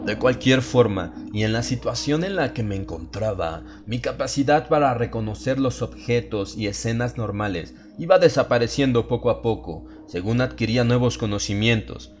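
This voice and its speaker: A man narrating a dramatized horror audiobook in an ominous, theatrical, measured way, drawing out his phrases. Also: mid-range voice, lively intonation. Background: atmospheric music bed.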